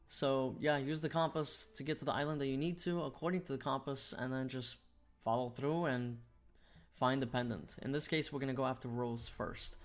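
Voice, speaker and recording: A man giving a casual, step-by-step video game walkthrough as a guide host. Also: mid-range voice. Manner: explanatory, step-by-step. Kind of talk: walkthrough commentary, casual instructional. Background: music.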